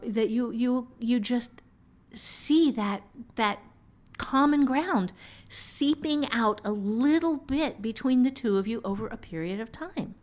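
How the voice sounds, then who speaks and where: A woman co-hosting a podcast, giving casual writing advice in a deliberate, explanatory way with emphatic pauses. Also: a little high, a little mellow. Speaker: podcast co-host.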